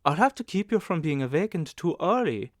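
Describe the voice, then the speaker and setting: A man's voice: a little high, big pitch swings, brisk, dry recording, clean studio sound, voice pushed up. Audiobook role: bustling, motherly hotel manageress of mature years , played by a man, lifted voice, emphatic and chatty. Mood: kindly, instructing. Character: kindly, bustling, nervous older hotel manageress, stout woman.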